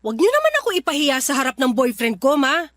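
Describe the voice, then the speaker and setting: A woman's voice: sits high, sing-song, fast, a bit bright, dry recording, studio quality. A woman playing an earnest, pleading young adult Filipina daughter in a radio drama. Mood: pleading, upset.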